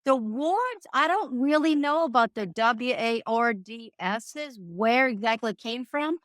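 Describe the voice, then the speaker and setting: A middle-aged woman with a New Orleans accent and a somewhat high voice. She chats in a folksy, storytelling way. Her voice sounds dry and close to the mic. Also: lively intonation, unhurried, fairly bright tone, no echo. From New Orleans, Louisiana. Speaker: guest, restaurant owner. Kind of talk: casual interview chat.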